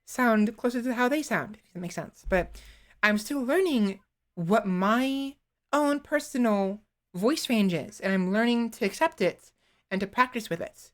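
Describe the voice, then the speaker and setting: A woman streamer chatting casually into a desktop microphone, rambling and halting, often restarting her sentences and poking fun at herself. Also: mid-range voice. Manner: self-deprecating. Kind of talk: casual live-stream chat.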